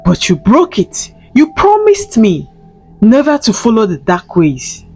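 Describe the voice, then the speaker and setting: Ghostly mother, played by a woman narrator: stern, commanding folktale spirit, raised pitch, slow solemn delivery over music. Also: wildly swooping pitch, a little mellow, exaggerated voice. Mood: stern reproach. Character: stern, fierce protective spirit of a dead mother.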